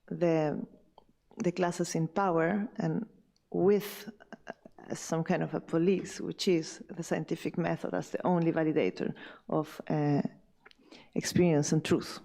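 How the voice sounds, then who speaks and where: Woman, panel discussion, mellow dark tone, hesitant pacing. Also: low voice, vocal fry. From Chile. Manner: thoughtful, halting, many fillers and restarts. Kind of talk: round table discussion.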